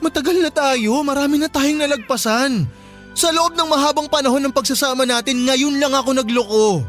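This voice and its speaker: A man's voice: extremely high pitch, moderate pace, a bit bright, soft background music. A man with a Filipino accent acting a remorseful, pleading young boyfriend in a radio drama, apologetic and whiny.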